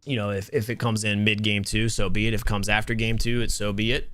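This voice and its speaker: A young man with a smooth, soothing voice casts a mobile game tournament live and talks straight to his chat. He chats loosely and restarts his words as he goes. The sound is close and dry, with no room around it. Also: on the low side, quick pace, no room sound. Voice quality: soothing, relaxed voice. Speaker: tournament host and caster. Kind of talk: live stream esports commentary.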